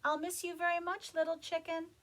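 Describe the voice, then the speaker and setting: A woman's voice: extremely high pitch, flat delivery, fairly quick, a bit bright, pitched above the performer's own voice. A woman voicing a cheerful little female frog in a read-aloud picture book. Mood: fond.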